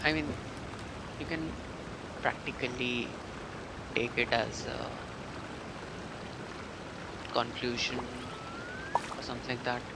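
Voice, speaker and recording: A young adult man giving casual live gameplay commentary as a streamer, halting and musing aloud. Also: mid-range voice, steady tone, creaky, heavy background noise. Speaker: game streamer. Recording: loud game music behind the voice. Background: video game music.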